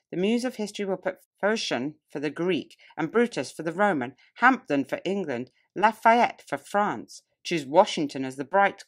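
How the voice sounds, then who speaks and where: A woman narrating a nonfiction audiobook as scripted reading, in a deliberate, emphatic, oratorical way. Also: lowish voice. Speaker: audiobook reader. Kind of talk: scripted narration of a public-speaking textbook.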